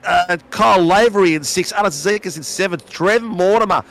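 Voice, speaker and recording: A man with a hoarse voice calling a sim race with excited, rapid play-by-play. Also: sits high. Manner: fast, exclamatory, calls names rapidly. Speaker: race commentator.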